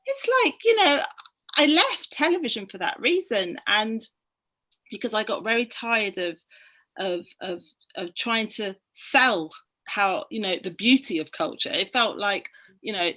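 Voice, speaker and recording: A woman's voice: a little high, expressive, measured pace, pushed and bright. Earnest middle-aged woman from Barbados. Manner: earnest, passionate, thinking aloud. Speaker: guest, book activist. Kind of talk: conversational discussion.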